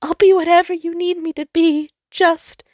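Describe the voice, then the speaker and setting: A woman's voice: very high-pitched, flat delivery, moderate pace, a little mellow, dry recording, studio quality, pitched above the performer's own voice. A woman voicing a frightened male star in human form, pleading in a shaky, sobbing voice in a story reading. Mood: tearful.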